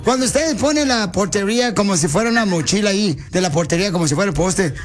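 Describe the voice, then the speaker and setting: A man doing a pushy, slangy soccer scout who drawls and stalls with fillers while he pesters. The voice sits high, with music playing behind it. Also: quick pace, natural voice. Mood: teasing.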